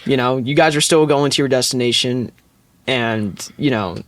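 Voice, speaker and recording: A man's voice: mid-range voice, moderate pace, fairly bright tone, dry recording. Man chatting casually, dry close sound. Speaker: podcast co-host. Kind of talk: casual chat.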